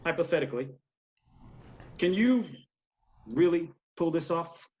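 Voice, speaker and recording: A man's voice: a little high, lively intonation, measured pace, darkish tone, lo-fi sound. An actor playing a wry, emphatic male TV studio executive in a screenplay table read. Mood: skeptical, guarded.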